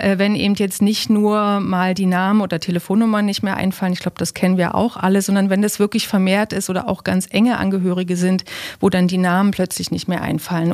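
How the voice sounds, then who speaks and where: A woman's voice: mid-range voice, darkish tone. A woman speaking as an expert guest in an interview, explaining things through everyday examples.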